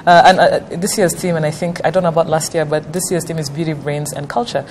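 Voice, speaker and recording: Woman host, low voice, questioning, lots of uhs, faint noise. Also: steady tone, fast, darkish tone. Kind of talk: hosting a panel talk show.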